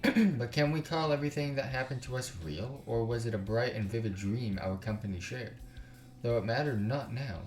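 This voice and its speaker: A man's voice: mid-range voice, moderate pace. A man reading a visual novel aloud as a gaming YouTuber, breaking off for slangy, sweary, incredulous asides. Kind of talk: visual novel read-aloud with casual commentary. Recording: roomy echo, distant mic, music behind. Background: music.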